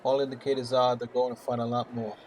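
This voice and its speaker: A man's voice: mid-range voice, flat delivery, quick pace, a little mellow, slight background noise, close to an everyday voice, poor audio. A man playing a polite, hesitant police officer in a radio play. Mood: grave.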